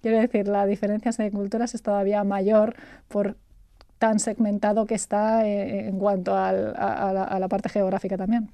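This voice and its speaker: A woman from Spain, a guest talking about her consulting work in a relaxed interview, chatty and laughing often, repeating words as she searches for them. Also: mid-range voice, normal speed, no room sound. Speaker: guest, artificial intelligence consultant.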